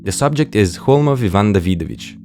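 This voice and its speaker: A man's voice: fairly low pitch, animated, brisk, a little mellow, faint music behind. A man narrating a scripted video essay, understated and wry, with casual asides.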